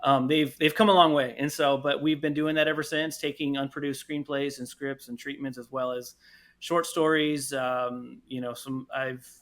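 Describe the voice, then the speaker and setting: Bright-voiced man as a podcast guest. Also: mid-range voice, fairly even intonation, moderate pace. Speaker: podcast guest, audio drama producer. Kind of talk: casual interview chat.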